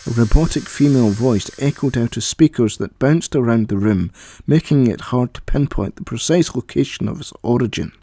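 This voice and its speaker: A man's voice: mid-range voice, normal speed, mellow, no echo. A man reading scripted narration for an audio story.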